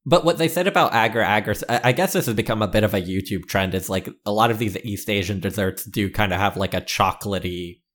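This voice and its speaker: A man co-hosting a podcast, chatting casually and thinking out loud as he goes. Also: lowish voice, quick pace, hardly any pauses. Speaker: podcast co-host. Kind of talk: casual chat.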